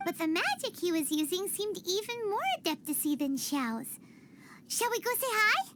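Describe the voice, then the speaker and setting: A woman voicing a tiny, excitable childlike girl companion in an animated game style. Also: very high voice, normal speed, background noise. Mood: excited. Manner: childlike, excitable, speaks of herself in third person.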